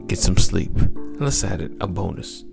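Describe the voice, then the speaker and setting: A man hosting a motivational podcast as a mindset coach, with a smooth, gravelly low voice and upbeat, repetitive exhortations. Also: lively intonation, normal speed, music in the background.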